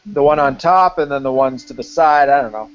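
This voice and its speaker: A man in a loose, unscripted chat who peppers his talk with swearing and "like". His voice sits at an ordinary middle pitch. The sound is a bit dull and muffled, like online call audio. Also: brisk. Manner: swears freely, lots of "like" fillers. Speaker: film site writer hosting a live-stream chat. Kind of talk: casual live-stream chat.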